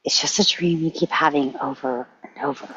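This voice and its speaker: A woman playing a tender, worried young woman who soothes her lover, gentle and reassuring, in a script table read. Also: sits low, steady tone, fairly quick, a little hiss or hum. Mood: tender, soothing. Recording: dull, lo-fi audio.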